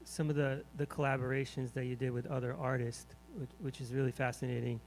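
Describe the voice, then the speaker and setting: A man's voice: mid-range voice, little pitch movement, a little fast, vocal fry, a little mellow, slight background noise, low-quality recording. A man in the audience asking a long question, searching for words and restarting often.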